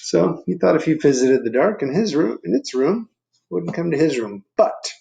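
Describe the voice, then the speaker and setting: A man's voice: on the high side, very animated, moderate pace, creaky, warm tone, dull audio. A man reading a picture book aloud to children, explaining things and putting questions to the listeners in chatty asides. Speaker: storybook reader.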